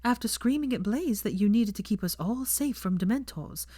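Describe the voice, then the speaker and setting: Narrator doing a high, teasing young woman. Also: lively intonation, moderate pace, dark tone, no echo, clean studio sound. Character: bright young woman, teasing, headstrong, emotional lover. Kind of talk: fan fiction audiobook dialogue.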